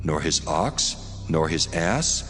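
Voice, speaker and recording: A deep male voice as a stern divine lawgiver, resounding in long reverb over music. He declaims each command with solemn authority, as in a scripture drama. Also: animated, takes it slowly, fairly bright tone, heavily acted.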